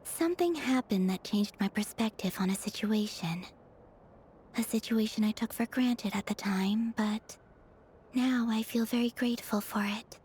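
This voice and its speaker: A woman voicing a gentle, enigmatic, pensive young woman in a video game, with soft and wistful delivery. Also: on the high side, normal speed, a little hiss or hum, lightly acted. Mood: calm, understanding. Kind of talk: dramatic video game dialogue. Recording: faint game music behind.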